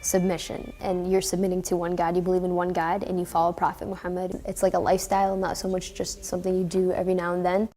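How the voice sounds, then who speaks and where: Chatty young woman, flat tone. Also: lowish voice, fast talker, hardly any pauses, soft background music. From Detroit, Michigan. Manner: chatty, uses "like" often. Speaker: interviewee. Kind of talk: casual interview.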